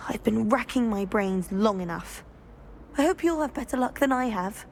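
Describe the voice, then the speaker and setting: Animated, high-voiced British schoolgirl witch. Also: normal speed, slight background noise. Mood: puzzled, earnest. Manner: chatty, proper, earnest. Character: earnest, inquisitive, slightly eccentric British schoolgirl witch. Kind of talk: video game dialogue acting.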